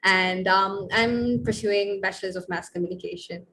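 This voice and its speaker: A woman's voice: medium pitch, steady tone, normal speed, a bit bright, slight background noise, poor audio. A woman of twenty-four speaking on an online panel, friendly and hesitant with many ums. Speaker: panelist, mass communication student. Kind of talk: casual panel chat.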